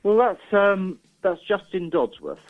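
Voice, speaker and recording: A man's voice: high voice, big pitch swings, unhurried. Man, hesitant chat, slightly dull sound. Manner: hesitant, rambling, informal. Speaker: radio interview guest, musician. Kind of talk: casual chat.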